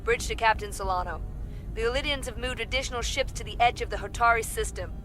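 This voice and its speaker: Woman as brisk, quick-talking bridge officer. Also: fairly high pitch, close to an everyday voice. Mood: urgent. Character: brisk, alert bridge officer reporting to captain. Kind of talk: video game dramatic acting. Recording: loud game music underneath.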